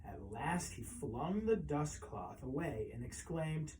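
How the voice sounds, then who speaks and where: A man reading a children's picture book aloud as a storyteller, expressively, with pauses and emphasis. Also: on the high side, sing-song, a little mellow, slight background noise.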